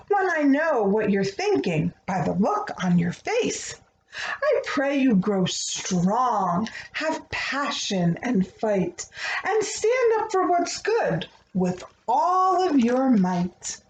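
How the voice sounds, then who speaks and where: Female storybook reader, fairly high and lively pitch, deliberate expressive phrasing for small children, echoey room recording. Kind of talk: read-aloud storytelling of a rhyming picture book. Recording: roomy echo, faint background noise.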